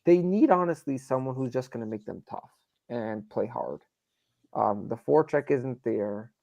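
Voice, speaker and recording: A man's voice: mid-range voice, animated, moderate pace, creaky, mellow, dry recording, lo-fi sound. A man from New York arguing hockey opinions emphatically in a casual live stream, often repeating himself for emphasis. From New York, United States. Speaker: sports fan show panelist. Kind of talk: casual live sports debate.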